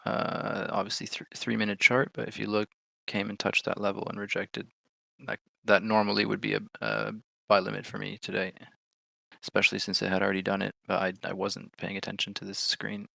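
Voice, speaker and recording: A lower-pitched man with a gravelly, bright, forceful voice, talking through market moves live on stream. He is chatty and excitable and thinks out loud. The sound is dry and close. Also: brisk, no room sound. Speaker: trading stream host. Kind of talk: live trading commentary.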